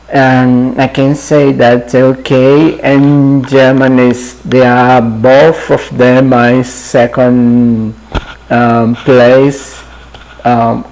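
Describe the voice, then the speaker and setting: A Brazilian man in his fifties with a Portuguese accent, introducing himself to viewers in a halting, friendly way, often trailing off with "yeah". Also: medium pitch, gravelly, a little mellow, poor audio. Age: 50s. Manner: halting, many fillers and restarts, often adds "yeah". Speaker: channel host. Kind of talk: casual personal introduction.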